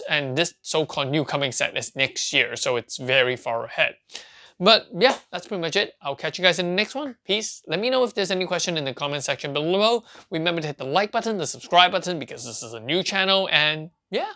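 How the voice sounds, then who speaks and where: Card-channel host, male, raised in Canada and Hong Kong: a halting, repetitive casual talker with a bit of fry. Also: on the high side, expressive, sharp tone. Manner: rambling, halting, many restarts and fillers. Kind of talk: casual talk to camera.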